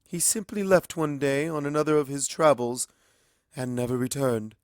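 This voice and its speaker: A man voicing a sorrowful, formal immortal pony princess with measured speech, in a storybook reading. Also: mid-range voice, fairly even intonation, no room sound, clean studio sound, voice pushed up, close to an everyday voice. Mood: somber, formal.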